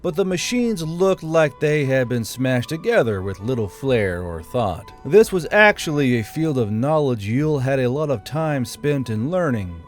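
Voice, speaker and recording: A man's voice: medium pitch, big pitch swings, moderate pace, hardly any pauses. Man narrating fantasy fiction over music. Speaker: audiobook narrator. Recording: music behind the voice. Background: background music.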